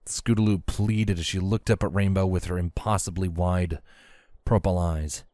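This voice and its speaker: Low-pitched man narrating a story. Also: steady tone, normal speed, dark tone, dry recording, clean studio sound. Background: background music.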